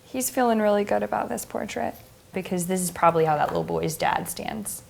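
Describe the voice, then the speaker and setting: A woman's voice: mid-range voice, fairly quick, gravelly, a little mellow. A woman chatting casually as a guest painter, giving candid, opinionated reactions to pictures. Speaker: guest painter reviewing photographs. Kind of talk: casual chat. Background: faint music.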